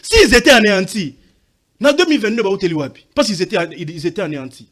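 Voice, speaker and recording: A man's voice: high voice, big pitch swings, quick pace, fairly bright tone. A man from Katanga arguing insistently in a heated TV debate, talking over the others and mixing French with Lingala. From Katanga, DR Congo. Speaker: debate panelist.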